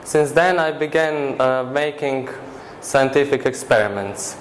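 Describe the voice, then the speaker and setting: A teenage boy from Croatia with a Croatian accent, a native Croatian speaker answering interview questions in an earnest, measured way with halting phrasing. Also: medium pitch, slight background noise, rough recording. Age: teens. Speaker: interview guest.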